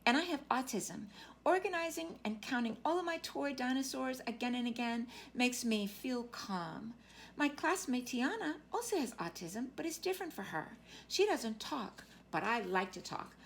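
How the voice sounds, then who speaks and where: A woman reads a children's book aloud in a fairly high voice that rises and falls in a very lively way. She phrases deliberately, like a teacher addressing young listeners. There is faint noise behind her. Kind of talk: expressive children's read-aloud narration.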